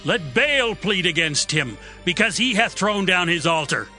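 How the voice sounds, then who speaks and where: A man's voice: high-pitched, very animated, measured pace, pushed and bright, soft background music, full character voice. A man playing an indignant, defiant village father in an audio Bible drama, declaiming against a mob.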